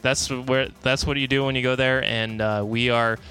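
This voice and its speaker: An American man with a bright, forward voice at a middling pitch talks casually as a podcast co-host. His delivery is informal and wanders as he goes. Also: steady tone, normal speed, soft background music. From United States. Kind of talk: casual conversational podcast talk.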